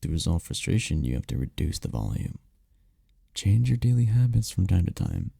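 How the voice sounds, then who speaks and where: A man playing a tender, powerful incubus boyfriend in an intimate roleplay ASMR, speaking softly and soothingly with unhurried, caring murmurs. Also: very animated, no room sound, clean studio sound, exaggerated voice. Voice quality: deep, dark, gravelly, husky. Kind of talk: intimate roleplay ASMR, scripted comfort monologue. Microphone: close mic, dry.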